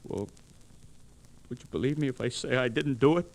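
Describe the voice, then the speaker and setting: An actor playing a frightened, despairing young ex-soldier husband, anxious and defeatist, in 1940s radio drama style. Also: male voice, mid-range voice, fairly quick, creaky, natural voice. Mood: glum, haunted. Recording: hissy, noisy vintage radio recording.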